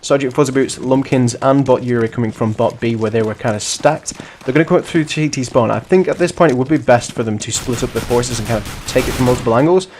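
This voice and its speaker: Hoarse, chatty gaming commentator. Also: male voice, medium pitch, fast, darkish tone, a little hiss or hum. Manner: rambling, self-correcting, chatty. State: hoarse from the flu, sniffling. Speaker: host and commentator of a bot league.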